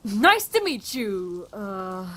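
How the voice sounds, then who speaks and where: A woman playing a brisk, practical doctor among apocalypse survivors in an audio drama. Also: mid-range voice, very animated, pushed and bright, heavily acted. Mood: friendly. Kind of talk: fan audio drama dub acting.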